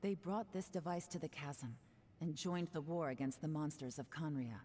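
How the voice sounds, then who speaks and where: A woman voicing a cool low-voiced investigator. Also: moderate pace, warm tone, slight background noise, lightly acted. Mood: calm. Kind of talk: video game voice acting.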